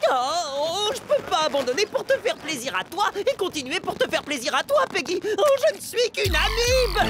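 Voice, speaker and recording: A performer voicing an eager, naive and loyal young amoeba boy in a French cartoon, earnest and excitable. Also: very high-pitched, exaggerated voice. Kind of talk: French cartoon dub acting. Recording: loud music behind the voice.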